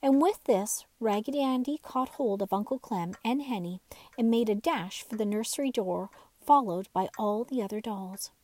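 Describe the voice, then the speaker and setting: A woman reading a children's bedtime story aloud in expressive, scripted narration. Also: on the high side, normal speed. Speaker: storybook reader.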